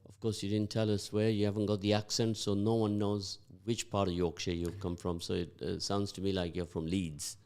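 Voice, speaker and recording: A man hosting a morning radio show, his voice sitting on the low side. He chats loosely and rambles, stopping to fill gaps as he thinks. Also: level tone, a little mellow, clear, resonant tone. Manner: rambling, halting with many fillers. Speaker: radio breakfast host. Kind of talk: casual radio chat.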